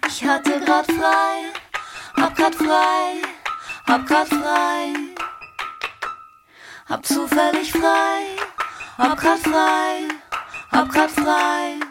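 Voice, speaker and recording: A woman's voice: sits high, fairly even intonation, extremely slow, long pauses. A woman musician delivering a repetitive scripted refrain in a musical way. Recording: loud music under the voice. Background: loud music.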